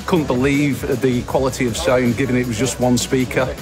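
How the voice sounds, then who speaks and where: A man's voice: mid-range voice, steady tone, moderate pace. A man giving an informal testimonial. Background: music.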